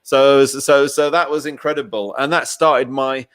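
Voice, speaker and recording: A man's voice: medium pitch, steady tone, measured pace, a bit bright, smooth, no room sound. A man with a mid-range voice hosts a casual interview. He rambles with effusive, fan-like enthusiasm, and the sound is dry with little room around it. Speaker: show host interviewer.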